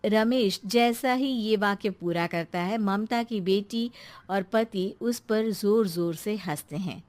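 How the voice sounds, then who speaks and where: A woman's voice: medium pitch, slow pace, a little mellow. A woman narrating a scripted family moral story as its storyteller.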